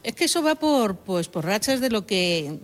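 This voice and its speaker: A woman's voice: medium pitch, very animated, normal speed. A woman pharmacist from Madrid, with a Madrid accent blended with southeastern Spanish, chatting readily in a radio interview. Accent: Madrid Spanish mixed with southeastern Spanish. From Madrid, Spain. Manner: chatty, explains readily, laughs easily. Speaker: pharmacist, festival speaker.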